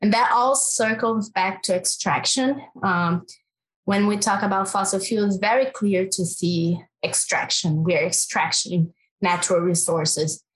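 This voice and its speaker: A woman speaks with a Brazilian accent, laying out her research as an interview guest. Her voice sits at a middle pitch, and she talks earnestly, pausing on fillers and restarting phrases as she thinks. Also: poor audio. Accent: Brazilian Portuguese. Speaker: climate policy researcher. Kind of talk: interview answer.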